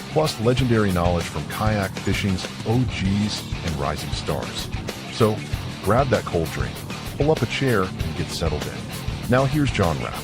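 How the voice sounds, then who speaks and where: A man delivers a scripted podcast intro as an announcer. His voice is fairly low and moves up and down in an animated way, with guitar music clearly playing behind him. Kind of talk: scripted promotional intro narration.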